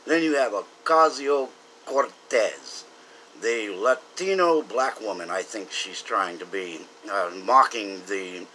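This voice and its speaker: A man's voice: on the low side, sharp tone, slight background noise, rough recording. An American man from the South giving an earnest, opinionated, unscripted political monologue to camera, speaking emphatically. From southern United States. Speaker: political commentator.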